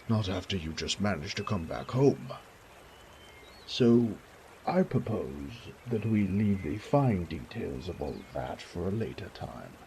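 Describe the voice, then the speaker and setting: A kindly old baron for an audio drama, fussing over his family in genteel, effusive speech. His delivery is slow and swoops widely, with some creak in the voice. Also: deep voice, a little mellow, slight background noise, heavily acted. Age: older. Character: kindly, doting elderly baron, a fussy noble father. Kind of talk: audio roleplay drama acting.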